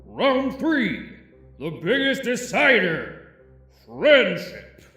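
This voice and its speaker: A bombastic arcade-style announcer, put on by a man, drawn out very slowly and swooping wildly. The voice is forceful and rings with echo over music. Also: very high voice, huge pitch swings, pitched above the performer's own voice, exaggerated voice. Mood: hyped. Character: bombastic male game contest announcer.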